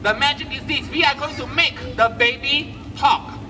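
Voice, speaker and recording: A man's voice: extremely high pitch, moderate pace, recorded from a distance, background noise. A middle-aged man doing live puppet show patter, giving short questions and instructions to the crowd over an outdoor stage PA. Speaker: children's ventriloquist entertainer. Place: outdoor festival stage at night.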